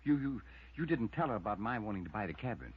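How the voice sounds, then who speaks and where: An actor playing an anxious henpecked husband in a 1950s radio drama, stammering, fussy and pleading, with a husky voice. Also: male voice, lowish voice, big pitch swings, fast. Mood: alarmed. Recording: muffled vintage radio broadcast.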